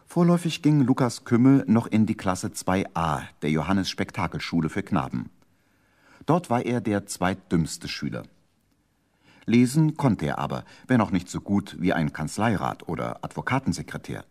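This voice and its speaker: A man's voice: sits low, sing-song, moderate pace, a little mellow. A man narrating a 1970s children's radio play in a theatrical, emphatic storytelling manner.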